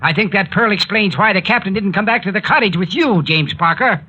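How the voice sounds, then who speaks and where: An actor playing a gruff, suspicious older small-town mayor with blunt rustic speech in a 1940s radio drama. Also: male voice, sits high, animated, fairly quick, pushed and bright, muffled recording, exaggerated voice. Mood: accusing. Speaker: actor in a radio mystery serial.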